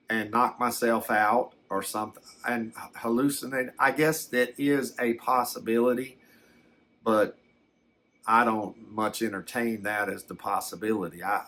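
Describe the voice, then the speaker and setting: Older male storyteller, lowish pitch, very unhurried, halting and conversational delivery. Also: fairly bright tone. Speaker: storyteller recounting a personal experience. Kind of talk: casual, unscripted personal storytelling.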